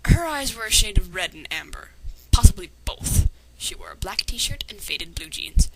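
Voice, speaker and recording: A woman's voice: medium pitch, very animated, normal speed, in a whisper, rough recording. A woman reading fan fiction aloud with sarcastic, critical commentary. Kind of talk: dramatic reading with mocking commentary.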